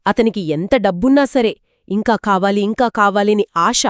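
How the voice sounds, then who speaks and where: A woman narrating a moral folktale in an expressive, lively storytelling manner. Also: mid-range voice, normal speed, no room sound, studio quality. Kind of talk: scripted two-voice folktale narration.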